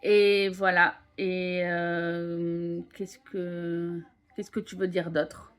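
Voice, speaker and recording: Slow-talking young woman vlogger. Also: lowish voice, flat delivery, clear, resonant tone. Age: 20s. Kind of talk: casual vlog chat.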